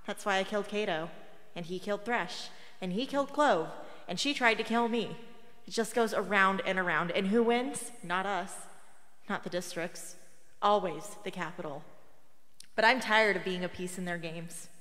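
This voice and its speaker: Radio drama: woman playing defiant teenage girl rebel, tired of war, bright edgy voice, long echo. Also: mid-range voice, normal speed, close to an everyday voice. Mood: defiant, weary. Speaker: actor in a radio play. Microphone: close studio broadcast microphone. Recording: long hall reverb.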